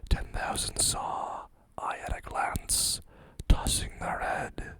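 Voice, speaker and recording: An ASMR host whispering poems into a close mic, reading slowly with chatty asides, voice a little hoarse. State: slightly hoarse, losing voice.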